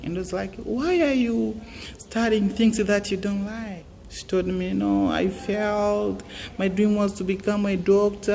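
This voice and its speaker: Young man, earnest and halting; echoing room, faint hiss. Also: high-pitched, vocal fry, a little mellow. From Tanzania. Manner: earnest, halting, many fillers and restarts. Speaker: student giving advice. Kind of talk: casual motivational talk.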